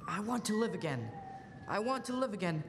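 A young woman acting an overjoyed, desperate family man in a radio play, with an excitable, exclamatory delivery. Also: medium pitch, fairly quick, noisy background, close to an everyday voice. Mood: desperate pleading. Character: overjoyed, desperate family man given his life back. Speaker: student actor. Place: school auditorium stage. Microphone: stand microphone. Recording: echoey school stage, music behind.

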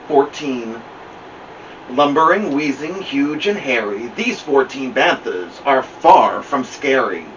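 A middle-aged man reading a children's picture book aloud as a storytime host, with dramatic, deliberate delivery. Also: medium pitch, expressive, a bit bright. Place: indoor room at home, seated by a plant. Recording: muffled, noisy, distant camera mic.

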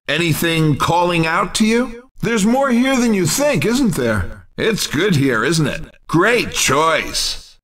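A man voicing an upbeat, excitable racing video game announcer with a punchy, showy, cheerful delivery. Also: sits high, recorded from a distance, heavily acted. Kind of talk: video game announcing, race commentary and shop greetings.

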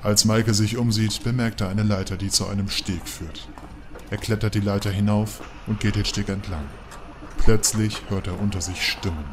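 A man's voice: on the low side, fairly even intonation, normal speed, music in the background. A man giving scripted narration for an audio drama.

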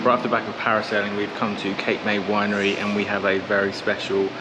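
A man's voice: lowish voice, monotone, fast talker, poor audio. Upbeat man vlogging on the road. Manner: upbeat and chatty, uses British words like "proper" and "reckon". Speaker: travel vlogger host. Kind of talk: casual travel vlog narration to camera. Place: outdoors at beaches, boats, streets and restaurants. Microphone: handheld camera at arm's length. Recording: music playing loudly over the voice.

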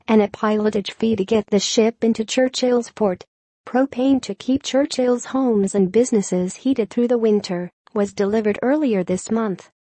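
A woman's voice: medium pitch, warm tone, dry recording. A woman reading a scripted news article in an impersonal, neutral way, with a synthetic text-to-speech sound.

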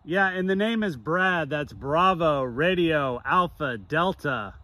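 American man, middle-aged, higher-pitched. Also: expressive, slow, bright, forceful tone. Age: 40s. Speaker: novice amateur radio operator, vlogger. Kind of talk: casual chat.

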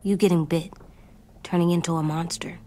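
A woman playing an anxious, stubborn young boy in a dramatic video game, speaking earnestly in halting, childlike phrases. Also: on the low side, fairly even intonation, dark tone, a little hiss or hum, natural voice. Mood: uneasy.

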